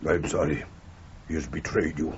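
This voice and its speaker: An actor in a radio drama playing a jilted, vengeful poet with a pompous, grandiloquent, theatrical manner. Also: male voice, rumbling low, normal speed. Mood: grandly vengeful. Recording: dull muffled recording with background noise.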